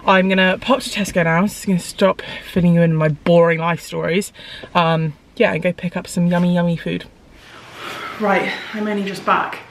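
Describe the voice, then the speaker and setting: A young woman from the UK rambles through her day for a vlog, her speech full of "um" and "like". Her voice is a little croaky today. A faint hum sits under the recording, first from a parked car and later from a home hallway. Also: fairly low pitch, steady tone, moderate pace. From United Kingdom. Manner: chatty, rambling, frequent fillers. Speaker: vlogger. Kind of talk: casual vlog chat to camera. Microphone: camera on dashboard, then handheld camera.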